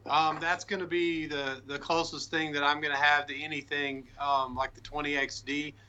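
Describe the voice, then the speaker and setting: A man's voice: on the high side, flat delivery, moderate pace, noisy background. A man as the guest on a casual livestream interview, chatting in a friendly way with lots of fillers. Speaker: guest, lure painter.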